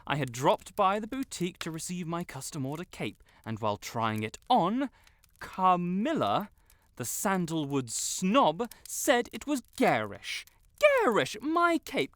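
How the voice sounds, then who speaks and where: A man playing a vain, stuck-up young wizard with a secret soft spot, pompous and theatrical, in a roleplay audio drama. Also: high-pitched, no echo, exaggerated voice. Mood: indignant. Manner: pompous, theatrical, haughty, flustered outbursts. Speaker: voice actor.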